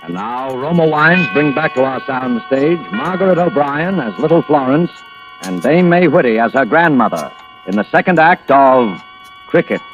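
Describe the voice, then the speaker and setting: A man's voice: mid-range voice, expressive, moderate pace. A man formally and dramatically introducing a play in 1940s radio style. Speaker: announcer. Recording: muffled old radio recording. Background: theme music.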